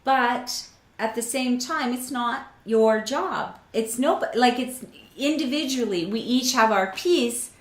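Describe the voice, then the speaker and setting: A woman's voice: a little high, takes it slowly. A woman hosting an interview, talking in a casual, affirming way with frequent restarts. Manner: warm affirming, many restarts and fillers. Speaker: host. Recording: roomy echo.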